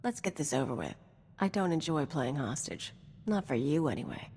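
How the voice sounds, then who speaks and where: An aloof alien femme fatale for a game cutscene. Her voice is on the low side, haughty and sardonic, and rings in a long hall reverb. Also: very animated, normal speed, darkish tone, husky, full character voice. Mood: bored. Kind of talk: video game cutscene acting.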